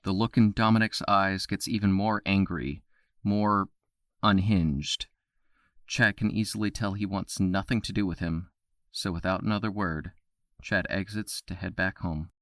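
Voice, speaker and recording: A male narrator reads a scripted audio drama. His voice is on the low side, with a bright, forceful edge. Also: steady tone, unhurried, no echo, clean studio sound.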